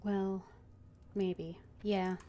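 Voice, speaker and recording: A woman with a soft-edged, mellow tone gives casual gameplay commentary. She takes her time, murmuring as she thinks aloud. A faint noise sits behind her voice. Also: medium pitch, level tone, extremely slow. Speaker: game streamer.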